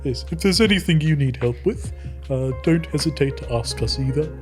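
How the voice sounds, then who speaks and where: A man's voice: fairly high pitch, normal speed, darkish tone, faint music behind. A man playing a tabletop game, improvising politely in character with a hesitant, slightly formal manner.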